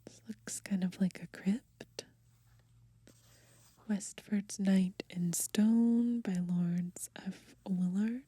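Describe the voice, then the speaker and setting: A young woman whispering in a hushed, breathy voice for ASMR, unhurriedly reading from a book and adding gently musing asides. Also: medium pitch, steady tone, slow pace, no echo. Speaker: ASMR host. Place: outdoors on grass.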